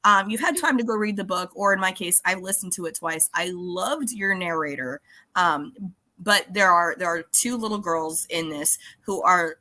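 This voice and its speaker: A middle-aged woman co-hosting a casual interview, chatty and joking with quick asides. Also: on the low side, pushed and bright. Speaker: podcast co-host.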